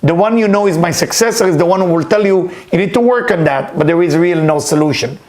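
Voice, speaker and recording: A man's voice: sits high, fast talker, a little mellow. A man, a Hebrew speaker, giving an earnest, emphatic weekly spiritual talk. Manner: earnest, emphatic, repeats key words. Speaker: spiritual teacher.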